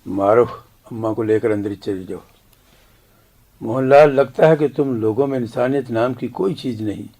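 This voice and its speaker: A man's voice: medium pitch, normal speed, dark tone, natural voice. A man acting a grave, defiant family patriarch. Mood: indignant. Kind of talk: radio drama acting.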